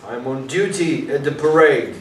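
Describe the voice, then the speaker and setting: A man's voice: medium pitch, normal speed, close to an everyday voice. A man playing an earnest young police detective in a staged reading, commanding and insistent in his questioning. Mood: stern. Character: earnest, officious young male police detective, easily exasperated. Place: small performance room with audience, far camera. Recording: distant, echoey room recording with noise.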